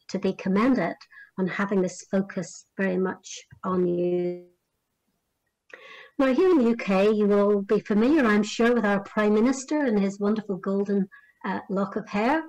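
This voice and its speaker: A middle-aged woman from Northern Ireland giving a conference address on career guidance, measured and full of small fillers and restarts. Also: medium pitch, a little mellow, low-quality recording.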